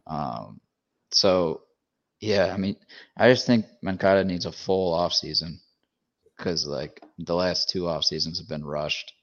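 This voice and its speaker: A man co-hosting a podcast, talking baseball in a casual way and rattling off pitching matchups quickly. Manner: rapid-fire rundown of matchups. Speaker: podcast co-host. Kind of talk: casual sports chat. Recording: dull lo-fi sound.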